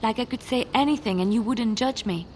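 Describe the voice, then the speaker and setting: An actress playing a gentle young woman companion in a video game, chatting in an earnest, affectionate and rambling way. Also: fairly high pitch, fairly quick, a little hiss or hum, lightly acted. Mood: earnest, tender. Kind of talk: video game dialogue acting.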